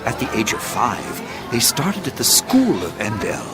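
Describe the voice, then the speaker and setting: An actor playing a wise old sage and former schoolmaster, narrating an audio drama in a stately, deliberate, theatrical way over music. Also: male voice, medium pitch, wildly swooping pitch. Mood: storytelling. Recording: loud music bed under the voice.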